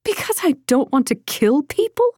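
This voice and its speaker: A stubborn, fiery young healer woman, as a woman narrator plays her in an audiobook, her voice pushed higher than the narration. She speaks with indignant, strident defiance. The sound is dry and close, with no room at all. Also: big pitch swings, moderate pace, dark tone, no echo.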